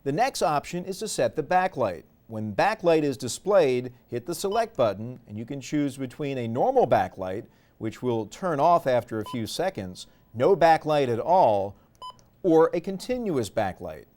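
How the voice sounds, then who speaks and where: A man's voice: mid-range voice, sing-song, normal speed, dark tone. A man narrating a product tutorial step by step in a methodical, explanatory way. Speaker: instructional presenter.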